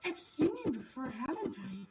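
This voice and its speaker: A woman's voice: high voice, big pitch swings, normal speed, a little mellow, distant mic, dull audio. A woman voicing a courteous, reassuring nobleman in a visual novel. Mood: gentle, gallant. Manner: gallant, reassuring. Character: courteous, kindly nobleman, a French Marquise. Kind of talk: visual novel character reading.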